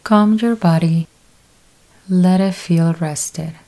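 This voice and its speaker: Slow, mellow-voiced woman guiding meditation. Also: on the low side, rough recording. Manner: slow, calm step-by-step instructions with long pauses. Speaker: yoga nidra teacher. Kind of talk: guided meditation.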